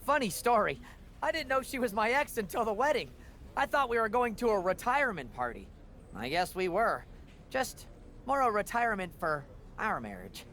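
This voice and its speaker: A man's voice: high voice, sing-song, moderate pace, fairly bright tone, a little hiss or hum. A man playing a chatty, awkwardly joking wrestling official in a video game, wordy and rambling. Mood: chummy, joking. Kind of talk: video game cutscene dialogue.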